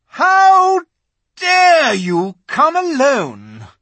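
A cruel, commanding witch queen, as a storyteller puts her on, barks out orders in a high, loud, husky voice. She speaks with haughty menace. The recording is dry and close. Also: huge pitch swings, slow pace, dry recording, full character voice. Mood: imperious. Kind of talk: audiobook character voice.

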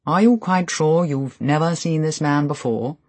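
Guy doing a calm older colonel, firm but gentle, slightly husky. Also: fairly high pitch, normal speed, voice pushed up, natural voice. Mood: coaxing. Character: older military man, calm, authoritative questioner.

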